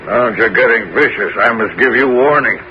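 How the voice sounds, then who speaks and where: A man's voice: medium pitch, animated, fairly quick, lightly acted. An actor playing a stern, condescending prison jailer who scolds his charge, in a 1970s radio drama. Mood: patronizing warning. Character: gruff male prison jailer, patronizing, stern but patient. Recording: noisy, dull 1970s radio broadcast.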